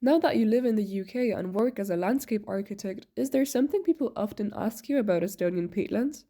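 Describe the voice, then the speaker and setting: A woman hosts a podcast and reads scripted interview questions in a plain, clear way. Her voice is mellow and sits at an ordinary pitch. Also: lively intonation, a little fast.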